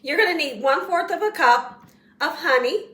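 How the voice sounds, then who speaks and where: Home cook host, woman, high bright voice, slightly hoarse, chatty, echoey kitchen with the mic set back. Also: lively intonation, normal speed, far from the mic. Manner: chatty, encouraging, talks straight to viewers. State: slightly hoarse, losing her voice. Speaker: cooking show host. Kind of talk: casual home cooking tutorial.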